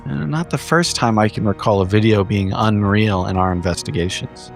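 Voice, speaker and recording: A man giving a measured, wordy recap in formal storytelling phrasing, as a player in a tabletop horror game. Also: lowish voice, creaky. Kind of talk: in-character recap narration for an actual play. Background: background music.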